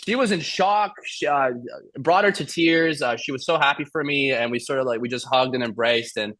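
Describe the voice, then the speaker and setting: Young male actor guest, relaxed rambling delivery, average pitch, close and dry. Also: moderate pace, dry recording, rough recording. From Iran. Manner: rambling, many fillers and restarts. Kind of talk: casual interview storytelling.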